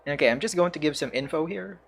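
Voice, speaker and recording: Filipino-accented man, husky voice, hesitant, casual game commentary. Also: fairly high pitch, fast. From Philippines. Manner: hesitant, searching for words, laughs often. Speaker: streamer and English teacher.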